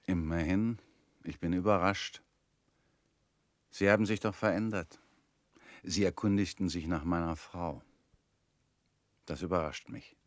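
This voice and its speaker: An actor playing a bitter former convict in a radio play, talking in a measured, brooding way. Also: male voice, low-pitched, fairly even intonation, no room sound. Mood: probing.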